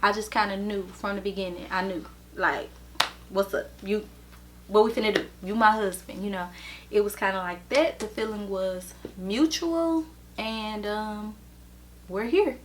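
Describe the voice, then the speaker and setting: A woman in her thirties, from the American Midwest, answering viewer questions in a relaxed vlog with plenty of ums. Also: mid-range voice, noisy background. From Chicago and Detroit, USA. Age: 30s. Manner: slow, easygoing, with many ums. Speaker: vlogger and hairstylist. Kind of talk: casual Q&A vlog.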